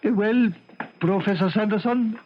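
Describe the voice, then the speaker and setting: An actor playing a coldly formal, imperious foreign prince in a 1970s radio drama. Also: male voice, high voice, moderate pace, slight background noise, natural voice. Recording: muffled old radio recording.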